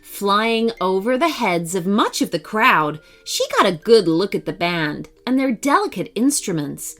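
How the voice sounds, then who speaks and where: A woman narrating a scripted children's bedtime story in an expressive storyteller's manner. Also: mid-range voice, unhurried. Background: faint music.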